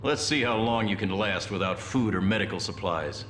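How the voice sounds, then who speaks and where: An actor playing a cold, calculating military colonel in a game cutscene, speaking with measured, controlled menace. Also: male voice, lowish voice, fairly bright tone, soft background music, close to an everyday voice. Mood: cold, threatening. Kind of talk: dramatic game cutscene acting. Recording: roomy echo, clear background noise.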